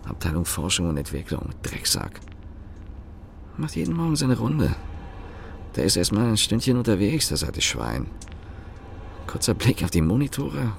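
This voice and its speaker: A man's voice: low voice, creaky, slight background noise, full character voice. An actor playing a bitter, cynical working man who mutters his thoughts in clipped, cursing fragments in a radio play. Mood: contemptuous. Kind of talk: radio play interior monologue.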